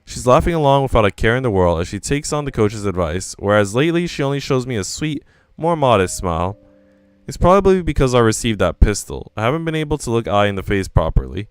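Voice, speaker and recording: A man's voice: mid-range voice, big pitch swings, fast talker, smooth, no room sound. A man reading visual novel narration aloud in a live, casual way, now and then restarting a line.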